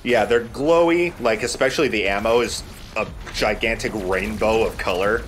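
A man's voice: lowish voice, moderate pace, fairly bright tone, slight background noise. A man giving casual commentary as he plays and hosts a video game let's play. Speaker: gaming let's play host, player.

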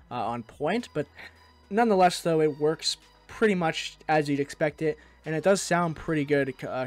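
A man hosting a software tutorial, narrating in a casual, conversational way. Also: a little high. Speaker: tutorial host.

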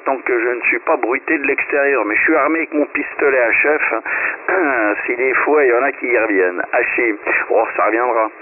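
Man giving reports over shortwave radio. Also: mid-range voice. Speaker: ham radio operator. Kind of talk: casual radio chat giving signal reports. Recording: tinny shortwave radio with hiss.

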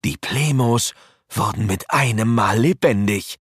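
A man's voice: lowish voice, measured pace, breathy edge, no room sound, studio quality. A man narrating a scripted children's audio drama as its storyteller.

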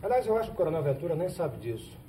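Casting: insistent Brazilian hero of the backlands, quick, highish, mellow; vintage TV drama. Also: male voice, big pitch swings. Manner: insistent, direct. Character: earnest, sharp-minded hero of the backlands. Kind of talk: 1970s telenovela acting. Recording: noisy old 1970s TV soundtrack.

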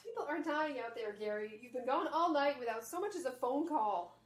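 A woman acts an anxious older mother in an audio drama. Her voice is very high and highly animated, fretful and pleading, in an echoey room with noise behind. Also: brisk. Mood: worried reproach.

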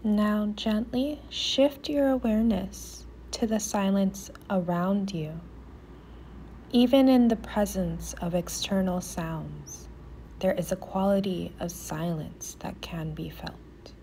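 A woman leading a guided meditation in a soft, soothing, often near-whispered voice with pauses. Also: medium pitch, a little hiss or hum, rough recording.

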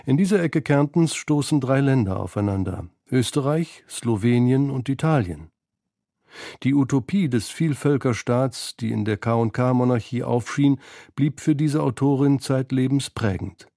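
Mellow-voiced man narrating a documentary. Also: medium pitch, vocal fry, no echo, clean studio sound. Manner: measured, analytical. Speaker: feature narrator. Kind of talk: scripted radio documentary narration. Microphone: close studio microphone.

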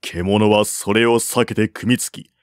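A man reading a historical tale as a narrator, in a measured, formal storytelling manner. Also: fairly low pitch, fairly even intonation, no room sound, studio quality. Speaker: narrator, audiobook-style reader. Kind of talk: scripted narration of a historical Chinese novel.

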